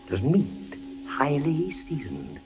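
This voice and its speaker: An actor playing a fevered, tormented captive captain in halting, melodramatic 1940s radio style, swinging from murmurs to cries. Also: male voice, on the high side, huge pitch swings, over music, heavily acted. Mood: haunted, weary. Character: tormented, fevered male prisoner, a condemned army captain. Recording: dull, noisy 1940s radio recording.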